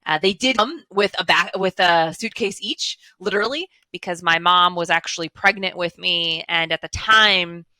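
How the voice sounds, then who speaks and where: A woman's voice: fairly low pitch, normal speed, hardly any pauses, pushed and bright, no echo. A woman, telling her story as a guest over a headset video call, earnest and fluent with frequent fillers. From Chicago, USA. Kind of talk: casual interview storytelling. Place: home office with blinds, indoors.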